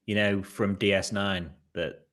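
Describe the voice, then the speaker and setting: A young man co-hosting a casual podcast chat, rambling along with fillers and quick jokes. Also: lowish voice, flat delivery, fairly bright tone. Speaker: podcast co-host. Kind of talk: casual chat reviewing a TV episode.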